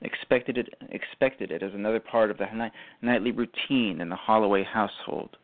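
A man reading scripted narration for a radio drama, halting and repeating phrases. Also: on the low side. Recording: muffled phone-like audio.

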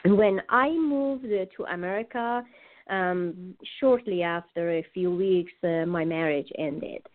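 Middle-aged woman, Iranian accent, native Persian speaker, lowish pitch, halting personal storytelling, tinny phone line. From Iran. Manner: halting, searching for words. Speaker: interview guest, author. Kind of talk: personal life story in an interview.

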